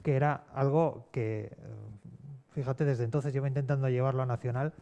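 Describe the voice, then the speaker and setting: Mellow-voiced Spanish man, discursive. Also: mid-range voice, fairly even intonation, normal speed. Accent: Spanish from Spain. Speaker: fiction scriptwriter and guest panelist. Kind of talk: conversational panel discussion.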